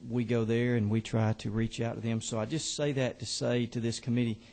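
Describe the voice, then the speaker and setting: A man, a state representative, giving halting, earnest remarks to a committee on his bill. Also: medium pitch, flat delivery, a little mellow. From Georgia. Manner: earnest, halting with many fillers.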